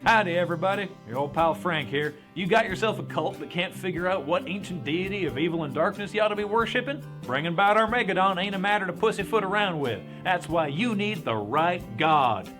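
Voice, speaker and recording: A folksy cowboy pitchman, played by a man, pitched a little high, over prominent plucked guitar. He delivers a cheerful hard sell in the manner of a parody radio commercial. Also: lively intonation, fairly quick, hardly any pauses. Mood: folksy salesmanship. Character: folksy cowboy pitchman hawking evil gods, cheerfully salesy. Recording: guitar music behind the voice.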